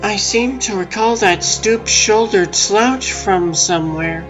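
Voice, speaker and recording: A resolute otter heroine, voiced by a woman, speaks with slow, earnest care. It is comic-book voice acting with faint music underneath. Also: medium pitch, muffled recording, close to an everyday voice. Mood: concerned, resolute. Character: heroic female otter Lylla, concerned and resolute.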